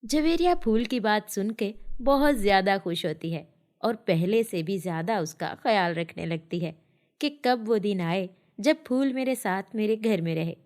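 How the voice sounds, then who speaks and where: A female storyteller reads a scripted children's tale. Her voice sits at a medium pitch, in the narrating style of a story for young listeners. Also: animated, normal speed, darkish tone.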